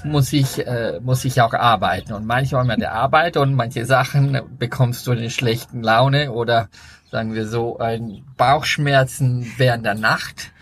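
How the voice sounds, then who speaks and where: A man's voice: medium pitch, a little slow, fairly bright tone, slight background noise. An Italian man with an Italian accent, a winemaker guest speaking German as a second language, carefully searching for his words outdoors. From Italy. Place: outdoors at a wine estate.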